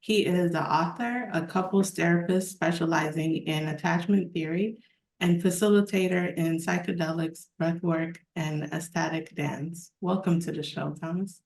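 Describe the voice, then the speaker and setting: A woman's voice: fairly low pitch, fairly even intonation, normal speed, creaky, darkish tone. A woman hosting a podcast interview, asking short friendly questions. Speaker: podcast host. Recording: echoey room.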